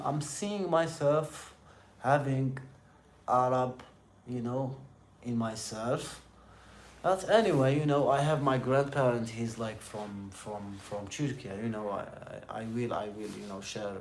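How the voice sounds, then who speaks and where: Gravelly middle-aged Algerian man, rambling. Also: medium pitch. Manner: rambling, repetitive, halting second-language English. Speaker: livestream host. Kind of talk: casual motivational chat with live viewers. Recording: roomy echo with faint background noise.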